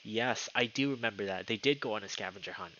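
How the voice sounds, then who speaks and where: A man's voice: fairly low pitch, quick pace, bright, forceful tone, muffled recording, slight background noise. A man live streaming a game, chatting casually and reading the story text aloud, sometimes tripping over words. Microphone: streaming mic with noise filtering.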